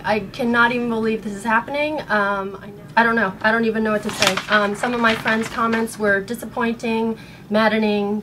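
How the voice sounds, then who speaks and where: An American woman in her thirties speaking in an earnest, halting way, upset and shaken, as in a personal vlog. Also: fairly high pitch, fairly bright tone, poor audio. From United States. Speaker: vlogger. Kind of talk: personal video blog, partly reading aloud. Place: small bedroom at home. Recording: noticeable background room noise.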